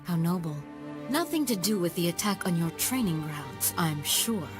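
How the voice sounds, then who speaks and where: A proud alien matriarch, worn down by illness and bitter toward the Jedi, voiced by a woman. Her tone is cold and sardonic, pitched fairly low. Faint game music plays underneath. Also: lively intonation, normal speed, natural voice. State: ill.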